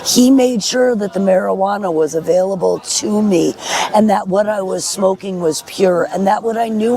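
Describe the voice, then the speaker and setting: A middle-aged woman with a hoarse voice, giving frank, earnest personal testimony as an interview guest at a festival. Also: medium pitch, warm tone. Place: at a festival in a park. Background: music.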